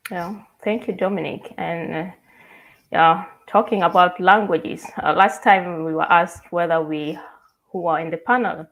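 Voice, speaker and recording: A woman from South Sudan moderating a panel discussion, polite and measured, asking long questions. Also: lowish voice, fairly bright tone, poor audio.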